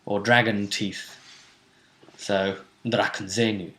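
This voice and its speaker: A man hosting a tabletop role-play show, casually recapping the action in a jokey, theatrical way with drawn-out emphasis. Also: lowish voice, level tone, fairly bright tone, a little hiss or hum. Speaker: game master and host.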